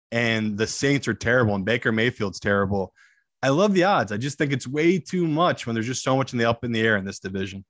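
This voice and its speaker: Male betting analyst and co-host. Medium pitch, blunt, opinionated, repeats for emphasis. Dry, close recording. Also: a bit bright, dry recording. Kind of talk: casual sports betting chat.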